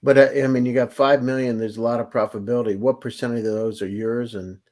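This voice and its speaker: A man's voice: medium pitch, fairly even intonation, fast talker, darkish tone. A man on an investor panel pressing a founder with blunt, direct questions about money and plans. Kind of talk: probing business questions.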